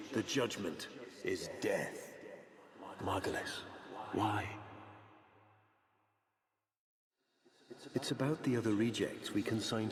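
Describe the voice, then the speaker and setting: A man playing a cruel, haughty aristocratic Executor villain in a video game, with theatrical, measured, formal diction and silky menace. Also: fairly low pitch, natural voice. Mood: anguished, hushed. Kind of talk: dramatic video game character acting. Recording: long echoing hall reverb, some noise.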